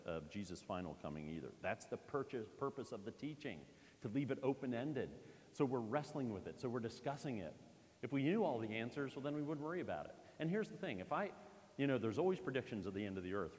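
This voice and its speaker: A man from Pennsylvania preaching a conversational sermon in a church, with lively asides to the congregation. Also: medium pitch, big-room sound. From Pennsylvania, USA. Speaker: pastor.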